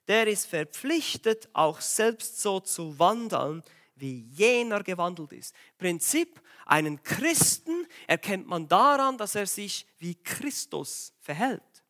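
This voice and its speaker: Male preacher, voice a bit worn from a cold, bright and forceful, urgent exhortation. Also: sits high. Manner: urgent, exhorting, asks the congregation direct questions. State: has a cold, voice a bit strained. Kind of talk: sermon.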